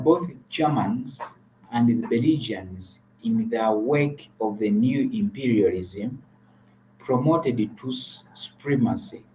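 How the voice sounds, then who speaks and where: A man's voice: medium pitch, a little mellow, slight background noise, low-quality recording. A man from Uganda co-hosting a talk show, giving earnest political commentary and often repeating words as he restarts.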